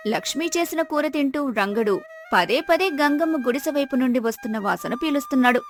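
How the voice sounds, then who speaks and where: A woman narrator telling a scripted moral story in an expressive storytelling manner. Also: high-pitched, fast, faint music behind.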